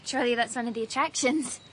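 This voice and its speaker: High, gentle young heiress played by an actress. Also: female voice, brisk, slight background noise, close to an everyday voice. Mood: shy, curious. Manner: hesitant, light, inquisitive. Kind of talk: radio drama dialogue.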